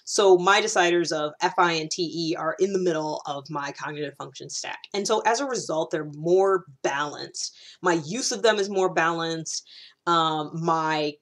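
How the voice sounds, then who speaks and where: A woman's voice: sits low, moderate pace. A woman giving a casual, explanatory monologue as a video host, conversational and often rephrasing or repeating herself.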